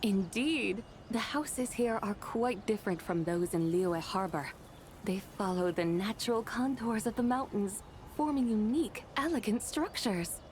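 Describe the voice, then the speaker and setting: A woman's voice: mid-range voice, measured pace, darkish tone, a little hiss or hum, natural voice. Woman as a poised, eloquent otherworldly lady. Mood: serene, admiring. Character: serene, gracious otherworldly lady, refined and unhurried. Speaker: game voice actor. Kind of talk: poetic, courtly game character acting.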